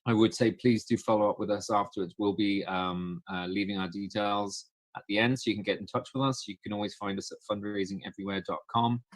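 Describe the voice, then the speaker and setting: A man's voice: lowish voice, steady tone, a little fast, no echo, poor audio. A man co-hosting a webinar, chatty and joking with many fillers. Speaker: co-host of webinar. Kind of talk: casual webinar presenting.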